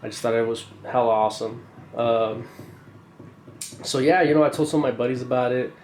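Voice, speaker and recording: A young man with a stuffy, congested voice casually rambling through an unboxing, full of fillers and slangy excitement. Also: mid-range voice, fairly quick. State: congested from a cold. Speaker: knife collector reviewing a purchase. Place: indoor room, camera over a tabletop. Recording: roomy echo, faint background hiss.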